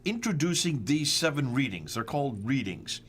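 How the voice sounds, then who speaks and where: A man's voice: mid-range voice, big pitch swings, moderate pace. Emphatic American man presenting slides. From United States. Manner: emphatic, rhetorical questions, stresses dates. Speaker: host. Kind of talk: discussion over slides.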